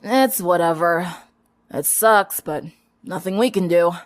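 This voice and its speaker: A woman's voice: on the low side, expressive, measured pace. A woman voicing a brash, sarcastic teenage former-siren girl with a snappish, dismissive manner in a dramatic story reading. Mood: apathetic. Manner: snappish, dismissive, grudgingly candid. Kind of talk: dramatic fan-fiction reading.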